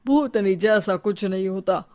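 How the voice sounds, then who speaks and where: Cartoon neighbour voiced by a woman: sceptical, teasing, deliberate slow pace, mid-pitched, comic dialogue. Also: a little mellow, close to an everyday voice. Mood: sceptical, bold. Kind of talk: animated horror-comedy dialogue.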